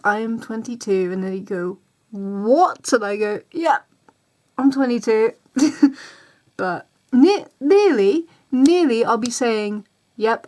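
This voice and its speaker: A woman, talking casually straight to camera, rambling and repeating herself. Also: fairly high pitch. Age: 20s. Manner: chatty, repeats herself, voices other people's reactions. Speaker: vlogger. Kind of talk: casual ranting vlog.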